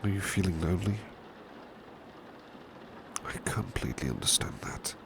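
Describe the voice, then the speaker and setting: Very deep, gravelly British butler played by a man. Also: very animated, moderate pace, full character voice. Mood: soothing, reassuring. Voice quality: very deep, gravelly, breathy whispery edge. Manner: gentle, courteous, old-fashioned formal phrasing. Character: devoted, gentle gentleman butler, protective and courteous. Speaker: ASMR roleplay performer. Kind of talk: soft-spoken ASMR roleplay, drifting into whispers. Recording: clear background noise, ambient rain sound. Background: rain ambience.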